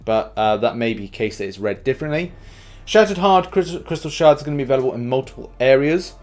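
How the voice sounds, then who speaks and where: A man's voice: mid-range voice, expressive, fast talker, darkish tone. A man hosting a gaming news video, delivering commentary in an informal, conversational way. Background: background music.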